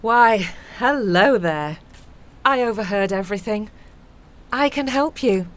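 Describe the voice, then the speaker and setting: A woman playing a helpful, chatty former pilot in an amateur audio play, speaking in a deliberate, friendly way. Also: mid-range voice, expressive, lightly acted. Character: helpful, chatty female ex-pilot offering a rescue flight. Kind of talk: amateur audio drama acting.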